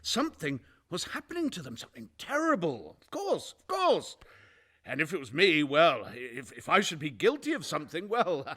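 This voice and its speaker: Jittery guilty man, high swooping voice. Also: huge pitch swings, normal speed, bright, forceful tone, exaggerated voice. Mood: anxious, defensive. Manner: stammering, repetitive, over-eager, jittery. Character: nervous guilty murderer, frantic, ingratiating, unravelling man. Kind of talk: theatrical radio play acting.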